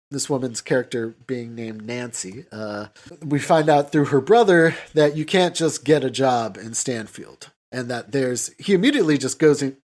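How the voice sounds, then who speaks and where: A man hosting a podcast, recapping films casually in halting, colloquial speech with some swearing. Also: medium pitch, darkish tone. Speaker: podcast host. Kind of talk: casual film discussion and recap.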